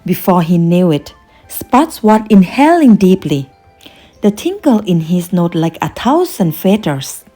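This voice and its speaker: A woman reading a children's bedtime story aloud as narrator, in a dramatic, deliberate storytelling manner. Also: on the low side, very animated, mellow, soft background music.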